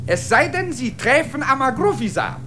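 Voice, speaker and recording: An eagle bringing warnings, grave and declamatory, voice swings up and down, music underneath, radio drama. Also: female voice, high voice, sing-song, moderate pace, background noise. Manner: solemn, declamatory. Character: watchful eagle, grave messenger to the mountain. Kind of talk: poetic German radio play acting.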